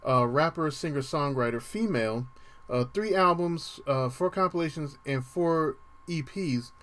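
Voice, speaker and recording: A man hosting a music show with a dry voice, talking casually through the playlist with frequent ums and the odd throat clearing. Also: on the high side, measured pace, fairly bright tone. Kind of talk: casual radio-style show talk.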